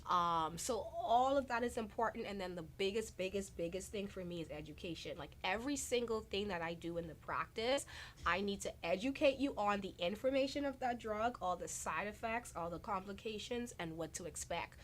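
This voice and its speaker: A woman's voice: medium pitch, brisk, a little hiss or hum. Earnest woman doctor from the Bahamas. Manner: earnest, emphatic repetition. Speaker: doctor, interview guest. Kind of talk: conversational interview talk.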